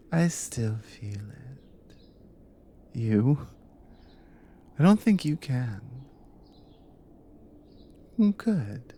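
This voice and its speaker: Slow, mellow Nordic warrior wife, softly teasing, acted by a woman. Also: a little hiss or hum, exaggerated voice. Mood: affectionate, teasing. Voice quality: soft, low, breathy with whispered stretches. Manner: teasing, unhurried, affectionate. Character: teasing, devoted Nordic adventurer wife, dominant, warmly intimate. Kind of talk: ASMR roleplay, intimate bedside talk.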